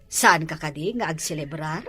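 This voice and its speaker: A woman's voice: sits low, animated, normal speed, fairly bright tone, faint music behind. A woman playing a gracious, hospitable married madam of the house in a drama, with an Ilocano accent. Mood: warm, planning. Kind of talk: acted dialogue in an Ilocano drama.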